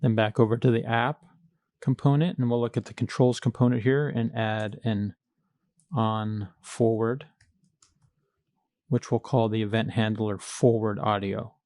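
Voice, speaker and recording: A man's voice: fairly low pitch, fairly even intonation, a little slow, darkish tone, dry recording, studio quality. A man teaching coding in a screencast, explaining each step in a methodical, plain-spoken way. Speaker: coding tutorial instructor.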